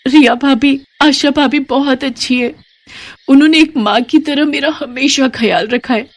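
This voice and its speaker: Lady doing a sweet young bride for a story cartoon, earnest, affectionate, higher voice. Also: unhurried. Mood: tender, grateful. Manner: tender, earnest. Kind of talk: animated moral story voice acting.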